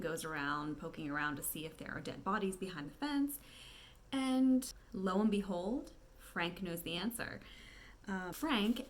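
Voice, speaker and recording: A woman's voice: fairly low pitch, a little hiss or hum, low-quality recording. A woman casually recapping and reading along with a book, talking in a conversational way with wry asides. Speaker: read-along host.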